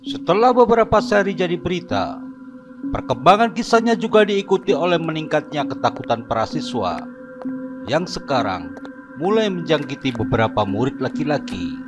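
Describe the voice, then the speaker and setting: Animated male narrator, higher-pitched voice. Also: normal speed, over music. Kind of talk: scripted horror story narration.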